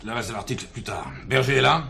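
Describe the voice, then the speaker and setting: An actor playing an authoritarian, irritated police director in a 1970s crime drama. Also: male voice, mid-range voice, expressive, quick pace, vocal fry, pushed and bright, slight background noise.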